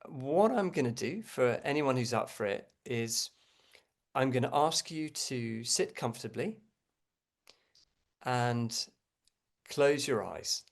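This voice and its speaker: Gentle man guiding meditation, creaky voice. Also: medium pitch, expressive. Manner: gentle, unhurried, frequent pauses. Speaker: meditation teacher. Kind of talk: guided meditation, unscripted.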